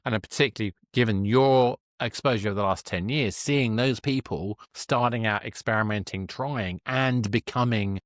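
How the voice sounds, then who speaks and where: A man's voice: lowish voice, moderate pace, hardly any pauses, dry recording, studio quality. A man hosting a podcast interview in a conversational style, wordy, enthusiastic and effusive with his guest.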